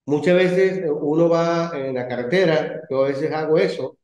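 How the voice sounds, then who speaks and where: A middle-aged Venezuelan man leading an online class discussion as professor, speaking in a measured, hesitant way with many fillers. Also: on the high side, a little mellow. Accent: Venezuelan Spanish. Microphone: video call. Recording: echoey lo-fi video call audio.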